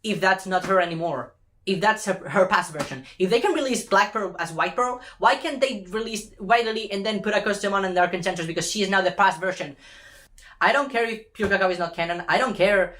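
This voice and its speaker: A woman's voice: mid-range voice, fairly quick, a bit bright. A woman delivering an impassioned opinion rant in an emphatic, exasperated way, repeating phrases for effect and swearing casually. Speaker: fan commentator.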